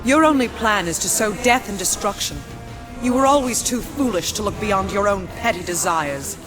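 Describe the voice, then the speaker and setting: Woman as a solemn noble princess over loud music. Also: medium pitch, expressive, moderate pace, close to an everyday voice. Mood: defiant. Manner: formal, solemn, gracious. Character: noble, earnest princess leading a faithful people. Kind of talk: video game cutscene voice acting.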